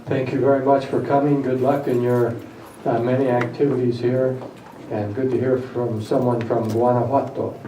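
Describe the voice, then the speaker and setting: A man's voice: medium pitch, little pitch movement, moderate pace, few pauses. Man presiding, heard from across an echoey chamber. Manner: brief, courteous and welcoming. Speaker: meeting presider. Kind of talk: formal public meeting remarks. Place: council chamber. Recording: distant mic, echoey chamber, dull and noisy.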